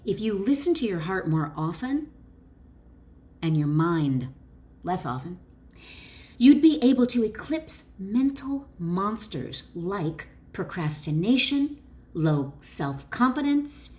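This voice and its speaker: A woman author and wellness educator reading her book aloud with informal asides, deliberate and emphatic, pausing between short phrases. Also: on the low side.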